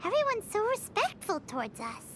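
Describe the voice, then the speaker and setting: A woman voicing a tiny, childlike, excitable fairy companion in an anime-style game, full of chirpy exclamations. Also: extremely high pitch, fairly quick, slight background noise, full character voice. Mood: pleased. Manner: exclaiming, speaks of self in third person. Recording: clean voice over background music.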